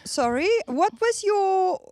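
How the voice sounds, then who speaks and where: A woman co-hosting a casual podcast, reacting with expressive disbelief. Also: very high-pitched, takes it slowly, dry recording, studio quality. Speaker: podcast co-host.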